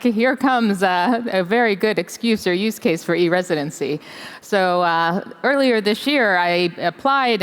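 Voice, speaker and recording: Smooth, lowish-voiced woman in a hall. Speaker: company executive, panelist. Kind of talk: panel discussion storytelling. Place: large summit hall on stage. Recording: reverberant conference hall.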